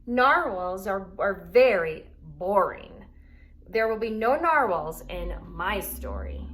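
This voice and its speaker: A vain storybook unicorn, put on by a woman reading aloud, speaks with grumpy self-importance. He is dismissive and projects more loudly and higher than plain narration. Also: big pitch swings, slow. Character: vain, grumpy male unicorn who wants to write. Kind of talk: children's storybook read-aloud.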